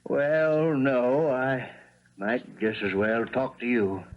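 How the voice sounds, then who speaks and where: An actor playing a folksy small-town Southern judge, sly and probing, in a drawling 1970s radio drama style. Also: male voice, medium pitch, steady tone, slow, phone-quality sound.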